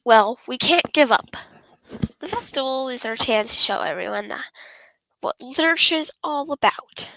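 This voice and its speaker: A woman voicing a bubbly, earnest teenage schoolgirl in a visual novel, animated and teasing. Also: high voice, moderate pace, dry recording, full character voice, low-quality recording.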